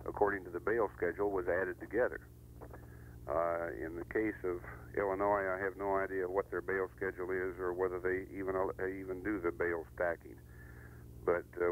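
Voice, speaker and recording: A deep-voiced middle-aged American man phones in to a radio show. He explains things in a halting, casual way over a faintly noisy, humming line. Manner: hesitant, halting.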